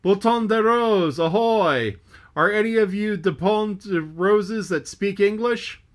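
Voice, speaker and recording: A man's voice: fairly high pitch, lively intonation, moderate pace. A man doing a hearty joking sailor. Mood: hailing. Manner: bantering, teasing, hailing loudly. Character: jovial joking male whaling mate, hearty. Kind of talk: audiobook character reading.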